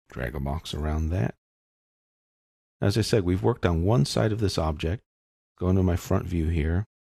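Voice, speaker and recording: A man with a deep, creaky voice talks through an on-screen software task step by step. He sounds husky and is recorded dry. His delivery is informal and explanatory, as if thinking aloud while he works. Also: dry recording. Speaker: software tutorial instructor. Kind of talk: unscripted step-by-step screen tutorial narration.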